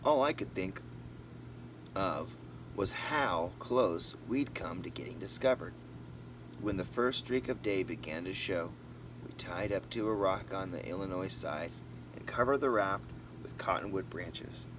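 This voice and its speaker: Low-voiced man reading a story aloud. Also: unhurried. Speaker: amateur audiobook reader. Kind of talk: storybook narration with casual asides. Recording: noisy, distant mic, vehicle-like background hum.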